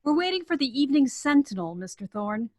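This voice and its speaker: A woman's voice: high-pitched, sing-song, fairly quick, no room sound, clean studio sound. A woman acting a businesslike campaign aide in a script table read.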